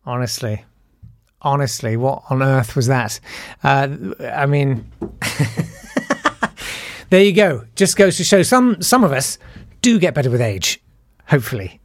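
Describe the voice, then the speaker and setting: A man's voice: medium pitch, lively intonation, measured pace. A man hosting a casual online monologue, rambling and self-deprecating with lots of restarts. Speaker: YouTube host.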